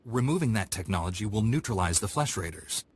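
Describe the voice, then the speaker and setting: A man's voice: on the low side, animated, fairly quick, breathy edge. A man voicing a young, action-minded Jedi knight who speaks directly and dryly, in a studio video game cutscene. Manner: direct, dry. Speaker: Jedi apprentice. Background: orchestral game music.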